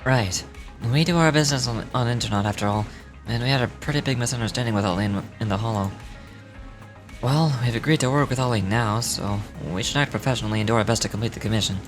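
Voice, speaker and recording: A woman voicing a calm, thoughtful young male fixer in a video game, measured and matter-of-fact. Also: a bass voice, faint music behind, voice pushed down. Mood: calm, pensive. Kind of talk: video game dialogue read aloud in character.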